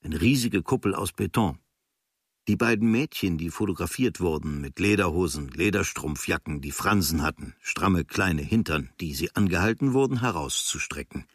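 An actor reading as an observant writer recounting travels from a diary, in clipped, dryly observant phrases with a husky voice. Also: male voice, sits low, dry recording, clean studio sound, full character voice.

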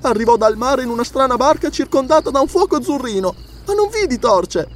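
Man putting on a shrill frightened little girl. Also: expressive, brisk. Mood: fearful, awed. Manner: breathless stammering, pleading. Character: frightened little servant girl, pleading and truthful.

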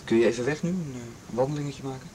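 A man's voice: mid-range voice, fast, warm tone, slight background noise, close to an everyday voice. A man playing a concerned, insistent young friend in a radio play. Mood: coaxing. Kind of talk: Dutch radio play acting.

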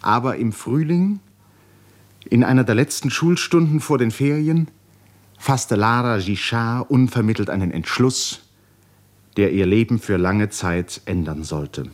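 A man narrating a literary 1950s radio play with dramatic, deliberate phrasing that often falls to hushed endings. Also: medium pitch. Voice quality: breathy, husky.

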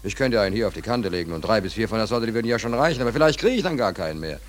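An actor playing a down-and-out American sailor in a 1940s German radio play, weary, wry and stubborn, with colloquial, sardonic, rambling talk. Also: male voice, fairly low pitch, animated, racing, natural voice.

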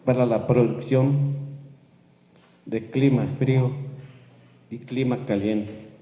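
A man whose first language is Tzotzil, giving a halting public address in his second language. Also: mid-range voice, steady tone, mellow, reverberant, big-room sound. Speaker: community speaker.

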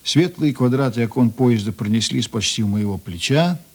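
An actor playing a reflective Soviet policeman who narrates in a radio drama, with a husky voice. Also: mid-range voice, expressive, moderate pace. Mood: tense, reflective. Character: reflective Soviet policeman recalling a night shootout.

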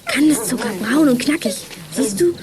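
A female actor playing a gentle, earnest, animal-loving schoolgirl detective in a children's radio play. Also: high-pitched, expressive, moderate pace, crowd noise. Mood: coaxing.

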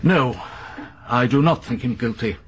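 An actor playing an older retired army major in a radio drama, with a blunt, courteous, emphatic manner. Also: male voice, medium pitch, lively intonation, unhurried, slight background noise, close to an everyday voice. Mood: grave, frank. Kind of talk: broadcast radio drama acting.

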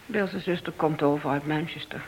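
A woman's voice: deep voice, normal speed, a little hiss or hum, natural voice, poor audio. An actress playing the bitter, wounded wife of a policeman in a radio play, terse and reproachful. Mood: subdued.

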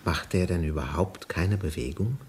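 An actor playing a sober, sympathetic railway official in a radio play, measured and concerned. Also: male voice, low-pitched, a little mellow. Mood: concerned, reassuring. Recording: slightly noisy radio drama recording.

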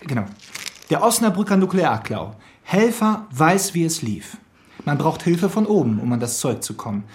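A man's voice: on the high side, very animated, moderate pace. A man playing a keen, insistent investigator in a crime radio play. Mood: explaining. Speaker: actor in a crime radio drama. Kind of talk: German radio play dialogue.

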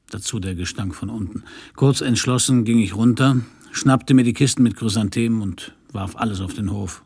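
Low-voiced, laconic noir detective. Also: male voice, gravelly, a little mellow, husky. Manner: laconic, dry, slangy. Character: hard-boiled, sardonic male Parisian private detective narrator. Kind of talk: noir radio play narration and dialogue. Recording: radio play, some background noise.

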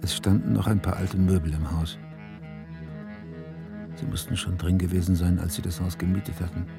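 An actor playing a stern, weary police detective in a crime radio play, terse and brooding. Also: male voice, low-pitched, flat delivery, normal speed, gravelly, over music. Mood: brooding, reflective. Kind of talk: German radio drama acting, inner monologue.

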